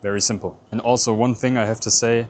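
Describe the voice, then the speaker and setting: A man's voice: medium pitch, fairly even intonation, fast talker, darkish tone. A man whose first language is German, narrating a casual travel vlog and explaining each step as he goes. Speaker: travel vlogger. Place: airport and busy city street.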